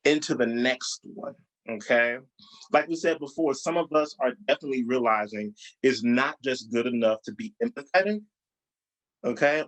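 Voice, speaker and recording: A man leading a group training, explaining ideas and asking the group questions, speaking over a video call. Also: poor audio. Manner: encouraging, asks the group questions, rises in pitch for emphasis. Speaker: diversity trainer.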